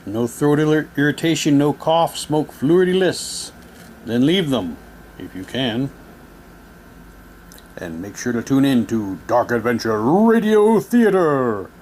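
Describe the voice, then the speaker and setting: A man with a mid-pitched voice presents items in a casual, unpolished way. He moves between informal commentary and reading aloud, and there is some audible noise behind him. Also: lively intonation, slow pace, a little mellow. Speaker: presenter showing audio drama props. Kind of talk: casual show-and-tell, reading prop documents aloud. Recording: noticeable background noise.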